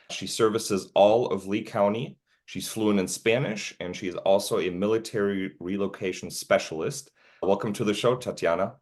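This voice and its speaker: A man with a very deep voice hosts a podcast interview. He asks his questions in a friendly, encouraging way and lets his guest talk. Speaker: podcast host.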